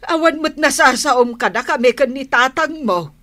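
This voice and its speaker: A woman's voice: high-pitched, lively intonation, moderate pace, a bit bright. An actress with an Ilocano Filipino accent playing a doubtful, questioning middle-aged mother in a radio drama.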